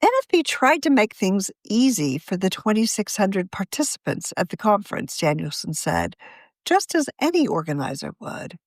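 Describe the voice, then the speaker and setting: Lively, expressive woman reading an article. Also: medium pitch, moderate pace, no room sound, studio quality. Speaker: magazine deputy editor. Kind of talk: reading her own article aloud.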